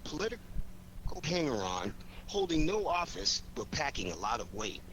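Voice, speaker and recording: An actor playing a cool, confident amateur detective in a 1940s radio drama, with a theatrical, commanding delivery. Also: male voice, medium pitch, sing-song, moderate pace, fairly bright tone. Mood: deliberate. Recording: noisy 1940s radio recording.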